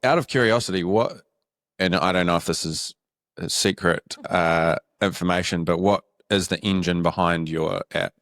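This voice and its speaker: A New Zealand man with a New Zealand accent hosting a business podcast, asking questions in a deep, monotone voice. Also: moderate pace, dry recording, studio quality. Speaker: podcast host. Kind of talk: interview questions, conversational.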